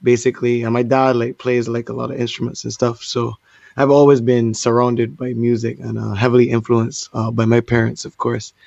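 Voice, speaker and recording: A man's voice: mid-range voice, moderate pace, creaky, dry recording. Man, laid-back, laughs easily, dull lo-fi sound. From Barbados. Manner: relaxed, laughs easily, loose fillers. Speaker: DJ and guest. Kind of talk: casual interview.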